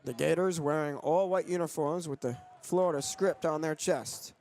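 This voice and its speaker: Young guy with a pretty high voice doing sports broadcast play-by-play. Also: normal speed, a little mellow. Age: young adult. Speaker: play-by-play announcer and sports reporter.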